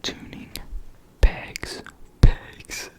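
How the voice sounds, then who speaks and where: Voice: drawn-out words, fairly bright tone. A person whispering an informal ASMR guitar lesson, hesitating and repeating key words. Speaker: guitar explainer.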